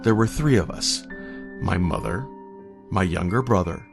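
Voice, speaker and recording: Male horror narrator, lowish register, measured and solemn delivery, faint eerie music bed underneath. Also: lively intonation, gravelly. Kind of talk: scripted first-person horror narration. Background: eerie background music.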